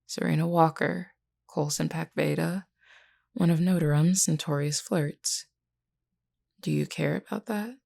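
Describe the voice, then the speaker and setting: A woman playing a flirty, frank, self-assured werewolf in an intimate, unhurried audio roleplay spoken to the listener. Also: fairly even intonation, full character voice. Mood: probing, teasing. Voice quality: low, creaky, mellow. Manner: unhurried, teasing yet earnest, deliberate.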